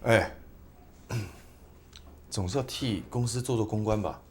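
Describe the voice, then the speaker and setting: A man's voice: on the low side, slow pace, darkish tone, a little hiss or hum. A man acting in a TV drama as an evasive, irritable, self-justifying husband. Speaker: actor in a family drama.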